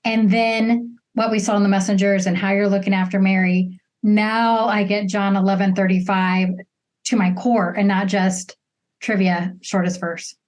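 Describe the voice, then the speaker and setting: Mid-pitched woman hosting a casual interview. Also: flat delivery, normal speed, a little mellow. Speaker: interview host.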